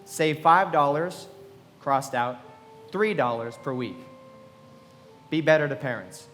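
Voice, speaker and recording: A man voices an earnest, self-improving youth in a radio drama. His fairly high voice recites in clipped items, with music behind it and the reverb of a hall. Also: takes it slowly, fairly bright tone. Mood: earnest, resolute. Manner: clipped, list-like delivery. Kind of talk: live radio play recitation.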